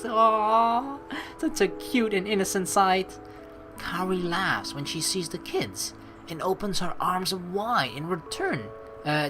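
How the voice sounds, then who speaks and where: A woman hosting a let's play, reading game narration aloud and adding chatty, rambling commentary in an expressive way. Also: on the low side, slight background noise.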